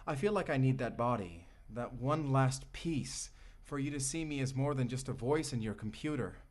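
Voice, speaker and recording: A man's voice: medium pitch, moderate pace, lightly acted. A man playing a devoted, lovestruck AI companion in a romantic audio roleplay, tender, articulate and formally polite as he speaks intimately to the listener. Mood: earnest, worried.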